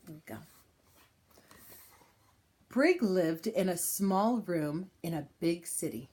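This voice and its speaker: A woman reading a children's picture book aloud in an expressive style, with deliberate, dramatic phrasing and pauses. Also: lowish voice. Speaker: storybook reader.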